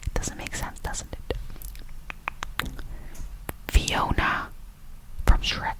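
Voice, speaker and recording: A woman's voice: moderate pace, poor audio. A young woman vlogging in a whisper, rambling, giggly and self-conscious as she talks to her viewers. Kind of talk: whispered ASMR vlog.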